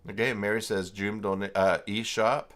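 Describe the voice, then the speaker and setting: A man hosting a casual, unscripted livestream tutorial, talking haltingly as he thinks aloud through each step. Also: lowish voice, level tone, a bit bright. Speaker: livestream host and web tutorial presenter.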